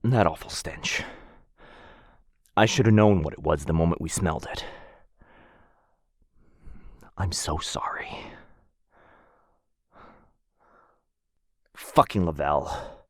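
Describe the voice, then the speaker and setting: Casting: male actor as a gentle vampire lord, low-pitched, dry close whispering. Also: moderate pace, no room sound. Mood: shaken. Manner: soft-spoken, close whispering, tender. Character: protective young vampire lord, gentle but fierce. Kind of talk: ASMR boyfriend roleplay.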